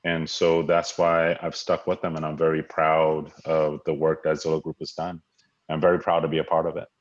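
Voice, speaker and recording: A man's voice: very deep voice, completely flat delivery, moderate pace. A man from Hawaii, a guest in an interview, talking in an earnest, reflective way with many fillers and restarts. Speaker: guest, diversity leader. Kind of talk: conversational interview. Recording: dull lo-fi audio.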